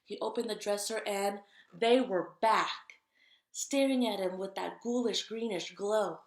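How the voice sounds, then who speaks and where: A woman reads a picture book aloud in an echoey room. Her voice has a medium pitch with a noticeable creak. She is expressive and breaks off to chat directly with young listeners. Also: measured pace. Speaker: read-aloud storyteller. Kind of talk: animated children's picture-book read-aloud with chatty asides.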